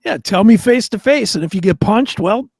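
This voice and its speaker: A man's voice: sits high, expressive, normal speed, creaky, darkish tone, breathy edge, no echo, clean studio sound. A man co-hosting a talk show in a casual, profane way, blunt and opinionated. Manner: blunt, opinionated, swears freely.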